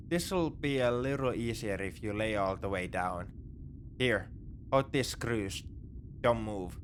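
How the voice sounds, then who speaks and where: A man's voice: medium pitch, a little hiss or hum, close to an everyday voice. A man playing a wry, morally grey space pirate in a sci-fi ASMR roleplay, with a conversational, nonchalant, dryly teasing delivery. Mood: focused, practical. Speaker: audio roleplay performer.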